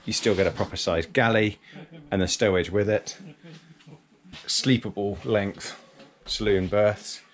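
A man's voice: fairly low pitch, steady tone, measured pace. A man presenting a casual walk-through boat review in clipped, fragmentary phrases, recorded on a handheld action camera. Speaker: presenter reviewing a sailing yacht.

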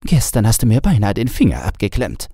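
A man's voice: lowish voice, wildly swooping pitch, fairly quick, creaky, dark tone, slightly rough, no room sound, studio quality, voice pushed up, full character voice. A man voicing a grumbling, spiteful young housemaid in a storybook, muttering and scolding. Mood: cross muttering.

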